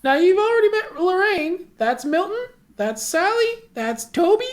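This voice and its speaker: A woman's voice: very high-pitched, big pitch swings, moderate pace. A woman voicing a chatty, insistent 1950s mother of forty in a table read, chirpy and fussy. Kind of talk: amateur table read of a film script.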